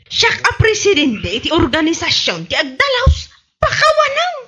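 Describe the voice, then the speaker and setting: A woman's voice: very high-pitched, huge pitch swings, moderate pace, bright, forceful tone, exaggerated voice. A woman with an Ilocano Filipino accent playing a vain, bossy president of a village women's club in a radio comedy drama, haughty and imperious. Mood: indignant, haughty.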